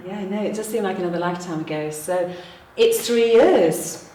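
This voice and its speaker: A woman's voice: medium pitch, fairly quick, dark tone. A woman from Wales chatting as an event host and interviewer. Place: indoors in a manor house venue. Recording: echoey room, distant mic, background noise.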